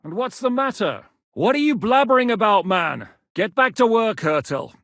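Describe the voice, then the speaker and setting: A man's voice: high-pitched, sing-song, fairly bright tone, no room sound, studio quality, exaggerated voice. A man voicing a shrewd, commanding medieval Czech mercenary lord in a video game, speaking with blunt authority. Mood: irritated, scolding. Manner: authoritative, blunt, swings from measured to barking. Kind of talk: dramatic video game dialogue.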